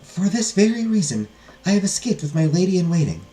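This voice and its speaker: Reader voicing a young fugitive princess, formal and polite, earnest, weary, lively intonation, storybook, roomy echo. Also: medium pitch, a little fast, voice pushed up, close to an everyday voice. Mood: hopeful, earnest. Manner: formal, courteous phrasing.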